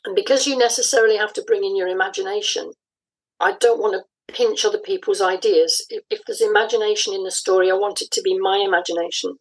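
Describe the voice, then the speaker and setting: Slightly husky, thoughtful woman in conversation. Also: medium pitch, fairly even intonation, low-quality recording. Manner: thoughtful, explanatory, pausing mid-phrase. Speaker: interview guest, audio drama writer. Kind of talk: conversational interview answers.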